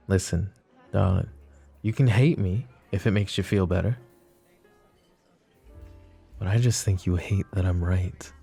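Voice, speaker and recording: A man voicing a possessive, commanding mafia boss in a boyfriend ASMR roleplay, speaking softly and intimately to the listener. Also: lowish voice, lightly acted. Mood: teasing. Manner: soft, intimate, addresses listener directly.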